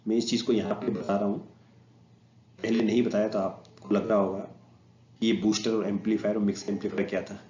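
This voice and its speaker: A man's voice: on the low side, flat delivery, a little fast, mellow. Indian guy teaching off slides, hindi mixed with english tech words, muffled echoey room sound. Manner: mixes Hindi with English technical terms. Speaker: instructor. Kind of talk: slide presentation lecture, explaining informally.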